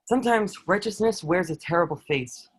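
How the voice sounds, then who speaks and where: A man's voice: high-pitched, animated, moderate pace, husky, low-quality recording. A man playing a proud, dutiful enemy soldier who is secretly awed by his captive, in an earnest, formal table read of a historical drama. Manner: earnest, formal archaic phrasing.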